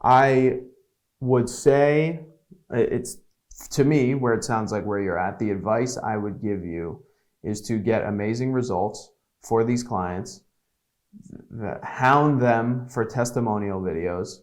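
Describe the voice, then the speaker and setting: A man's voice: on the low side, slow, darkish tone. A man in his twenties, a guest giving blunt, candid business advice in a casual podcast chat. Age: 20s. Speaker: podcast guest, agency CEO.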